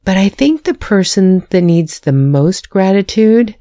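A woman's voice: medium pitch, lively intonation, measured pace, dark tone, dry recording, clean studio sound. A woman author reading a candid, self-deprecating personal talk on her own podcast, laughing at herself now and then. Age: adult, married.

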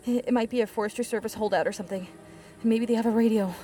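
A woman's voice: fairly high pitch, fairly even intonation, fast talker, dark tone, close to an everyday voice. An actress playing a determined, protective woman hiker in an audio drama, urgent, foul-mouthed and reassuring under pressure. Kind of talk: full-cast audio drama acting.

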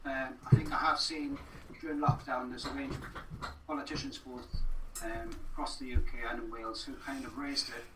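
A man's voice: mid-range voice, sing-song, moderate pace. A man speaking conversationally as a podcast guest, earnest and repeating phrases for emphasis. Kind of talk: conversational interview. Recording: roomy, distant mic, faint noise.

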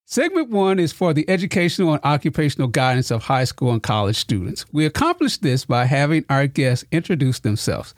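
A man's voice: a little high, very animated, a little fast, hardly any pauses, no room sound, clean studio sound. A man hosting a podcast interview with his students, enthusiastic and formal in his introductions. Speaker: podcast host and instructor.

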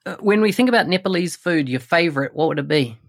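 A man's voice: on the high side, expressive, quick pace. Upbeat male podcast host. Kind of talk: podcast interview.

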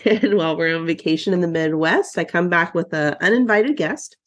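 This woman has a stuffy, nasal voice that sits a little low for her, and she talks in a loose, chatty way. She is a therapist co-hosting a podcast, and she often trails off partway through a thought. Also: moderate pace. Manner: chatty, self-deprecating, trails off mid-sentence. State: congested, recovering from covid. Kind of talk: casual chat.

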